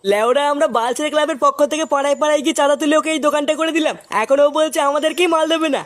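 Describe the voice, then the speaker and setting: A man's voice: very high voice, steady tone, very fast, fairly bright tone. A man voicing a brash, pushy local loafer who argues and bullies, in a comedy cartoon. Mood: belligerent. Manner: pushy, swearing, argumentative. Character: brash, foul-mouthed local loafer demanding things, bullying.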